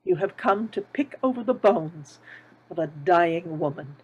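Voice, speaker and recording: A woman plays a frail, ghoulish old lady in a drama table read. Her voice is fairly low and husky, and she speaks very slowly, with bitter scorn and accusation. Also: lively intonation, a little mellow, highs cut off. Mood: scornful, accusing. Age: older.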